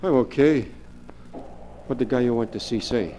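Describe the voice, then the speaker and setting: A man's voice: medium pitch, normal speed, dark tone, a little hiss or hum, close to an everyday voice, rough recording. A man acting a nervous gangster sidekick in 1940s radio-drama style, placating and jittery.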